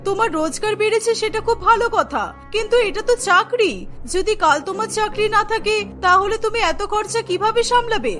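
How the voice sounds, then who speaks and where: A woman's voice: very high-pitched, brisk, fairly bright tone. A woman voicing a caring, firm older mother-in-law in a cartoon, earnest and admonishing, practical about money. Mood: concerned. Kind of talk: Bengali cartoon dialogue. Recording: music behind the voice. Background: music.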